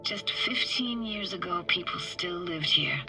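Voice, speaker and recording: A young woman techie diver from a video game, talks in short, slangy, teasing phrases. She is wry and guarded with a sentimental streak. Music plays clearly behind her. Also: on the low side, lively intonation, unhurried, bright, forceful tone, heavily acted, rough recording. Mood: wistful, earnest. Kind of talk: video game voice acting, casual dialogue.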